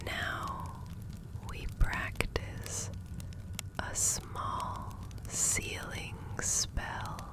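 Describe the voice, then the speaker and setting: Woman playing a whispering, very slow magical consultant. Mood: calm, careful. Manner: slow, deliberate, soothing, measured phrasing. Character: serene, gentle female magical law consultant, soft-spoken and careful. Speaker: ASMR roleplayer. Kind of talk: whispered ASMR fantasy roleplay.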